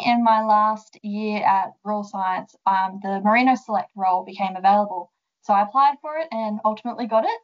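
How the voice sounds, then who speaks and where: A woman's voice: a little high, a little mellow, dull audio. A young woman with an Australian accent, a guest on a podcast, answering questions in a friendly, explanatory way with frequent ums. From central west New South Wales, Australia. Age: young adult. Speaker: guest, sheep genetics development officer. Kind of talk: casual interview answers.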